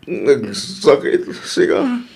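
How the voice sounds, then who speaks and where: Man acting lovesick jilted suitor, pleading, sighing, very animated intonation, radio comedy drama. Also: medium pitch, very slow, creaky, a little hiss or hum, heavily acted. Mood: dejected. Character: lovesick jilted man who cannot move on.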